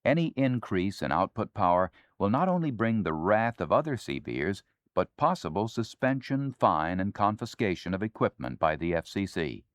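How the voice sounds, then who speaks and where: An American man reading scripted instructional narration in a formal, measured, authoritative manner. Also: fairly low pitch, big pitch swings, no echo. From United States. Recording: dry 1970s spoken-word LP.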